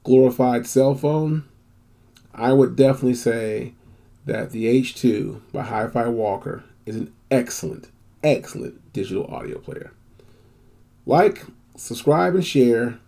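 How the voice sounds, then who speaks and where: A man's voice: mid-range voice, a little slow, gravelly, warm tone. A man giving a casual, unscripted product review as host, rambling a little, repeating phrases for emphasis and using folksy slang.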